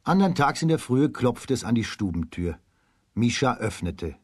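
A man narrating a children's radio play as a scripted storyteller, in a husky voice. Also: mid-range voice, sing-song, moderate pace, darkish tone, dry recording, studio quality.

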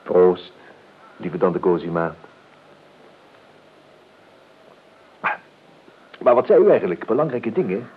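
Husky, urbane, ironic nephew. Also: male voice, low-pitched, very animated, normal speed, full character voice. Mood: airy, ironic. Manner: hesitant, florid, ironically polite. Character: urbane, evasive nephew, drily ironic and mock-courteous. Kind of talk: Dutch radio play acting. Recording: dull lo-fi radio recording.

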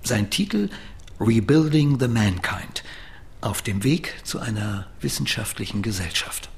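A jumpy older professor with a gravelly edge to his voice. His pitch leaps and dips widely as he protests, then lowers into a secretive tone when he confides. The voice comes from a radio drama. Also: a little slow, slight background noise. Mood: confiding. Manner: indignant, excitable, then confiding. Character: nervous, self-pitying older male professor. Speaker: brain researcher.

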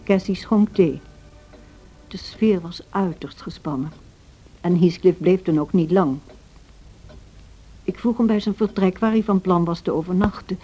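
A woman playing a sensible, motherly housekeeper who recounts events in an old radio play, measured and gently admonishing. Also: fairly low pitch, lo-fi sound, faint music behind, lightly acted. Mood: recounting.

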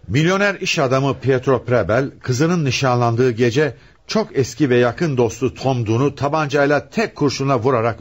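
A man's voice: mid-range voice, breathy edge. A man acting a commanding homicide commissioner in a radio play, with an emphatic, questioning, theatrical delivery.

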